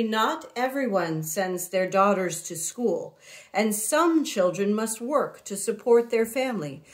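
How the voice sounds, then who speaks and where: A gentle, serious father from a storybook, acted out by a woman reader. He speaks to his daughter slowly and tenderly. His delivery is measured and a little hesitant, as if explaining hard truths. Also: mid-range voice, close to an everyday voice. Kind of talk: storybook character read-aloud.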